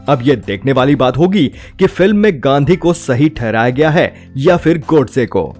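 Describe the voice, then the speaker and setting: A man delivers a scripted review in a mid-pitched, slightly rough voice. He leans on rhetorical phrasing, stressing his points as a narrator would. Soft music plays behind him. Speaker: film reviewer. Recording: music bed under the voice. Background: background music.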